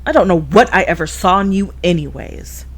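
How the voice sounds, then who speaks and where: A woman's voice: lowish voice, lively intonation, fairly quick, a little hiss or hum, natural voice. Woman acting a haughty, bratty lycan vixen. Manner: snotty, dismissive. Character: vain, haughty young female lycan vixen, bratty and sullen. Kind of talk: comedy-horror audio drama.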